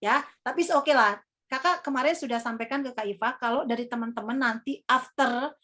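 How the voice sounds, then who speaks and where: A woman's voice: on the high side, brisk, fairly bright tone. A young woman teaching a workshop for hosts, chatting casually and filling gaps with "eh" and "apa". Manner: chatty, calls herself Kakak, many fillers. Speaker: MC trainer.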